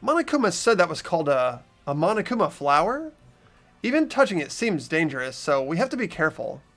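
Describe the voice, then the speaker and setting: A man's voice: fairly high pitch, big pitch swings, fairly quick, fairly bright tone, soft background music. A man voicing a mild, hesitant, put-upon teenage boy in a game playthrough. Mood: informative. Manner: hesitant, questioning. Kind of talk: voiced visual novel game playthrough.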